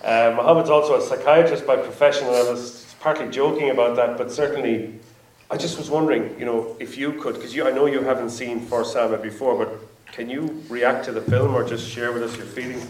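A man's voice: medium pitch, fairly even intonation, brisk, darkish tone. A man hosting a panel after a film screening, talking in a hesitant, rambling way and repeating himself. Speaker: event host. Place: screening hall with audience. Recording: roomy hall from far off, faint ambience.

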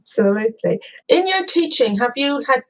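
A woman's voice: high voice, sing-song, moderate pace, low-quality recording. Encouraging woman podcast host. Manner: encouraging, laughs often. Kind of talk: interview hosting.

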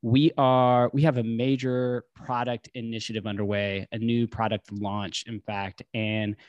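A man hosts a casual podcast at a middle pitch. He talks in a friendly way and often trips over and restarts his phrases, recorded in a dry, close room. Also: flat delivery, unhurried, dry recording, dull audio. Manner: friendly, filler-heavy, frequent restarts. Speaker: podcast host.

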